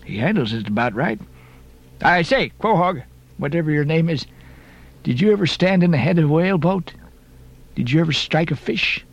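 A man voicing a gruff, blustery old sea captain in an audiobook, hearty and exclamatory. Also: on the high side, animated, normal speed, pauses often, highs cut off. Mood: excited, hearty.

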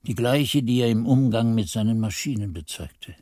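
An older male actor playing an aged monk who narrates memories of his youth in a measured, literary radio play style. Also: medium pitch, warm tone, dry recording, clean studio sound, natural voice. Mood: reflective. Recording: 1980s radio play with faint music.

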